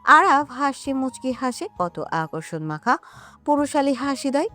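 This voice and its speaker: A woman tells a romantic story in a high, very lively voice. Her scripted narration is emotive and melodramatic, set over music. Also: unhurried. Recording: music under the voice.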